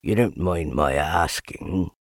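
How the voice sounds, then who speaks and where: A man voicing a melancholy donkey who speaks slowly and mournfully, murmuring as if to himself, in a storybook reading. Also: very deep voice, dry recording, studio quality, pitched below the performer's own voice, full character voice. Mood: gloomy, wistful. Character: gloomy, slow, melancholy male donkey.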